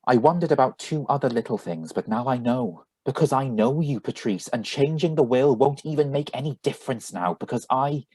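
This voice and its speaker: A man acting an earnest, tender young suitor, husky voice. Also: mid-range voice, lively intonation, fairly quick, few pauses, dark tone, no echo. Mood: earnest, nervous. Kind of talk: live reading of a radio drama script.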